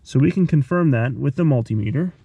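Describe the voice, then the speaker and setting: A man's voice: medium pitch, expressive, brisk, gravelly, lo-fi sound, a little hiss or hum. Man talking through a hands-on DIY tutorial. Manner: explains step by step, thinking aloud. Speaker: DIY car electronics video host.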